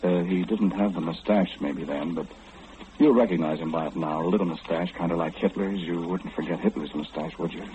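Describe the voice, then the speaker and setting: An actor playing an eerie, all-knowing mind reader in old radio horror, speaking slyly and teasingly, straight to the listener. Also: male voice, low-pitched, brisk, dark tone, lightly acted. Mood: sly, ominous. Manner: sly, insinuating, theatrical, speaking confidingly to the listener. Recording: muffled, noisy old radio broadcast.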